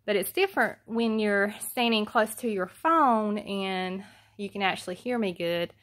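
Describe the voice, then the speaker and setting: A soft-spoken woman with a Southern US accent talks low and unsure into her phone at home. Her voice sits at an ordinary middle pitch, and she fills her pauses with ums. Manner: hesitant, many ums, plain. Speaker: homestead vlogger. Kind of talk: casual how-to vlog. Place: at home, alone with a phone camera.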